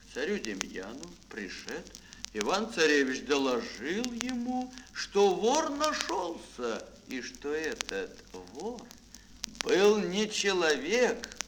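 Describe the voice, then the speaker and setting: A man narrating a children's fairy tale theatrically, with dramatic phrasing and pauses, as a storyteller in an audio play. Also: fairly high pitch, very animated, noisy background. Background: music.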